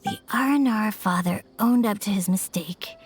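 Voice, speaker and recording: A woman's voice: mid-range voice, normal speed, soft background music, close to an everyday voice. A woman voicing a thoughtful, slightly nervous young boy storyteller.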